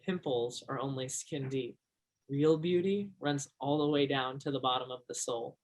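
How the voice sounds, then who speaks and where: An actor in a stage play as an earnest, romantic teenage high school boy with a theatrical way of talking. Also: fairly even intonation, moderate pace, close to an everyday voice, poor audio. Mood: smitten.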